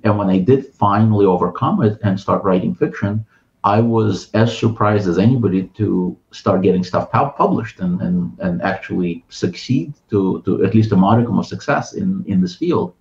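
A middle-aged man from Ukraine with a Russian accent, a guest on a video call, talking thoughtfully with many filler pauses. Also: low voice, creaky, darkish tone, dull audio. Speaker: guest, writer and translator. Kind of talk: casual interview talk. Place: home room with bookshelves.

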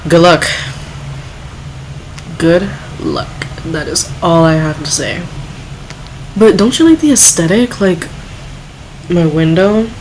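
A woman's voice: fairly low pitch, lively intonation, slow, pauses often, a little mellow, slight background noise, low-quality recording. A woman giving casual, offhand reaction commentary and reading text aloud as a video host.

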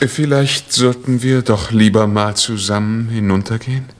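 An actor playing a confident, theatrical husband in a 1960s radio crime drama, self-assured and courteous. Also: male voice, on the low side, steady tone, normal speed, close to an everyday voice. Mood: polite, explaining.

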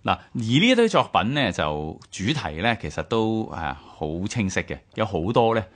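A male radio co-host with a Hong Kong Cantonese accent offers conversational commentary on history. His voice sits low, and his intonation moves up and down in a lively way. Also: moderate pace.

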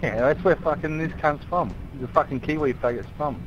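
A man's voice: on the high side, fairly quick, lo-fi sound, faint music behind. A man taunting and swearing at other players in online game chat. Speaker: online opponent. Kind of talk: aggressive game-chat trash talk.

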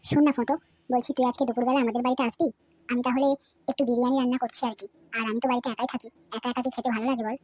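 A woman's voice: fairly high pitch, little pitch movement, racing, lightly acted. A woman voicing a scheming, lonely young married woman in a cartoon, talking in a sly, coaxing way. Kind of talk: cartoon voice acting. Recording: loud background music.

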